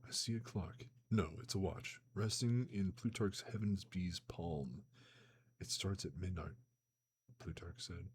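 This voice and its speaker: A man with a slightly hoarse voice reading a novel aloud on a live stream, slipping into casual slangy remarks between passages. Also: low-pitched, measured pace, a little hiss or hum. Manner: reads steadily, breaks into excited slangy chat.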